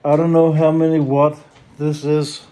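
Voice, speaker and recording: Male, Danish accent, higher-pitched but mellow, slow hesitant delivery for a rambling personal vlog. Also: flat delivery, rough recording. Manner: hesitant, halting, often trailing off, blunt and sweary. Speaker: solo activist and vlogger. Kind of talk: rambling casual monologue to camera.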